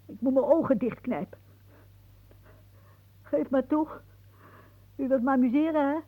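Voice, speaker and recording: A woman's voice: high voice, unhurried. An actress playing a stubborn, weary, dreamy woman in a theatrical radio drama. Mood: wistful, brooding. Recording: muffled, phone-like old radio recording.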